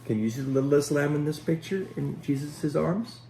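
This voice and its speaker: A man reading a children's story aloud in an expressive, dramatic way, pausing to speak directly to young listeners. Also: fairly high pitch, creaky, slight background noise, low-quality recording. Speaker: Sunday school storyteller. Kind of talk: animated children's story read aloud with asides. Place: at home.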